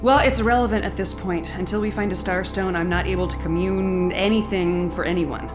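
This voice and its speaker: An actress playing a troubled, reluctant heroine in an audio drama, questioning and emotional. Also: female voice, lowish voice, fairly even intonation, fairly quick, close to an everyday voice. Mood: resolute. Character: reluctant, troubled young heroine urged to become queen. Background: music.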